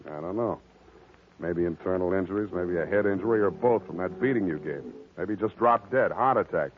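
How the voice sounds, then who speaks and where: A man acting a wry, weary hard-boiled detective in a deadpan, clipped 1940s radio drama style. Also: low-pitched, brisk, slight background noise, close to an everyday voice. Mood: matter-of-fact. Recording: muffled old radio recording with music.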